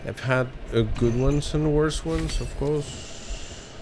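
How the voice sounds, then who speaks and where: A man's voice: a little high, slow, background noise. A drunk man, a native Spanish speaker with a Spanish accent, giving rambling, sweary, casual gameplay commentary as a streamer. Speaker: streamer, speedrunner.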